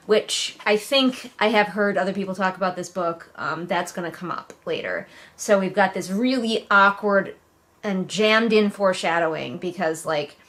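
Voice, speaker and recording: A woman giving casual, sarcastic read-along commentary as a book reviewer, with animated, mocking emphasis. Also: medium pitch.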